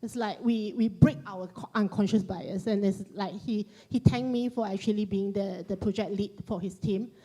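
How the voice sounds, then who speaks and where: A woman speaking as a panelist, reflective and halting, often restarting her sentences. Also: mid-range voice, fairly even intonation, dark tone. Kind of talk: panel discussion.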